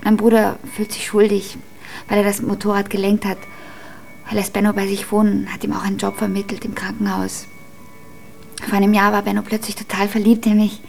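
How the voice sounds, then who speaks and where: A woman's voice: medium pitch, monotone, fairly quick, vocal fry, darkish tone, faint music behind, natural voice. An actress in a German radio drama playing a frank, melancholy young woman who confides hesitantly. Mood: confiding, weary.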